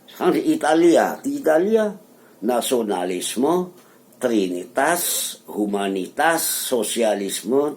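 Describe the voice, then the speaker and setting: A man giving an informal explanatory lecture, didactic and halting as he reads out lists of terms one by one. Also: mid-range voice, darkish tone.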